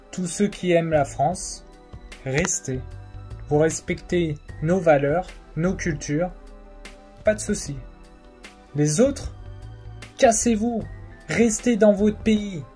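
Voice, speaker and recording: A young adult vlogger speaks straight into a phone camera at an unhurried, dragging pace. The tone is mellow and soft-edged, and the speech is full of hesitations and fillers but keeps pressing its point. Faint music plays underneath. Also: medium pitch. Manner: hesitant, many fillers, colloquial and insistent. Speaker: political vlogger. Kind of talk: casual opinion monologue to camera. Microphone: phone or camera facing the speaker.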